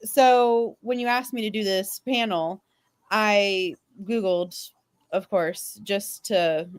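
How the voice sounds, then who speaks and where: A woman's voice: medium pitch, fairly even intonation, slow, dry recording. A young to middle-aged woman on a fan panel, casually telling personal anecdotes.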